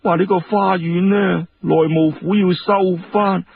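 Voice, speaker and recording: A man's voice: fairly even intonation, measured pace, vocal fry, narrow, tinny audio, slight background noise. A man voicing a timid, distressed household servant in Hong Kong Cantonese, deferential and plaintive, for a told story. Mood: confiding, worried. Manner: deferential, plaintive, high-pitched, hushed.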